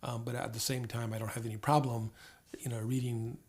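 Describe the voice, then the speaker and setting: An older man speaks in a middle-pitched voice, thinking aloud as he answers an interviewer. He stumbles often, restarting phrases and repeating small words before he finds the thread. His tone is reflective and unhurried. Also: little pitch movement, a little mellow. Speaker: interview guest, former diplomat.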